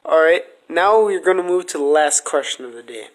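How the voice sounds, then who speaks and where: A man's voice: fairly high pitch, animated, a little fast, rough recording. A man hosting a podcast, asking questions in a casual interview style.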